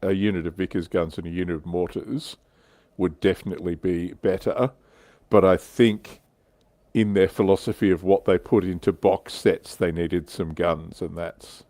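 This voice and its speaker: A man's voice: sits low, steady tone, normal speed, warm tone, dry recording. A man chatting casually as a member of a livestream discussion panel.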